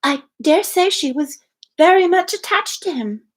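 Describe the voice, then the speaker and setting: A woman's voice: very high voice, expressive, measured pace, pitched above the performer's own voice. A woman voicing a wistful, earnest young woman from a novel, given to sighing. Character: wistful, sweet young woman sighing over lost love.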